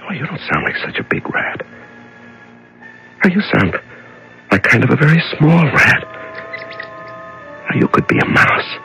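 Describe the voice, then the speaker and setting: An actor playing a frightened, lonely man locked in the dark, muttering haltingly to himself in a 1970s radio drama. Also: mid-range voice, very animated, vocal fry, heavily acted, rough recording. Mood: tender, fragile calm. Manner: halting, muttering to himself, swinging from whispers to cries. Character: frightened lonely man locked in solitary darkness, clinging to sanity. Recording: vintage radio drama recording with music.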